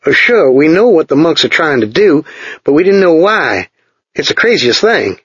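A man putting on a jittery, high-strung technician whose voice climbs well above the teller's own, pressing every point with worry. The sound is dull and lo-fi, dry with no room, and a little husky. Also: big pitch swings, normal speed, fairly bright tone, no room sound, exaggerated voice. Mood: urgent. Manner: anxious, pressing, tense. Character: nervous, urgent male American technician.

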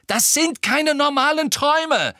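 A man voicing a hot-tempered, desperate teenage wizard boy in an audiobook, loud, urgent and insistent. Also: a little high, lively intonation, normal speed, no room sound, studio quality, voice pushed up. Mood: shouting, defiant. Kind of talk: audiobook character acting.

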